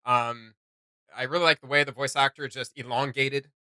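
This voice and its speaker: A man's voice: medium pitch, steady tone, normal speed, bright, forceful tone, clear, resonant tone, no room sound. A man hosting a reaction video, enthusiastic and slangy, thinking aloud in casual commentary.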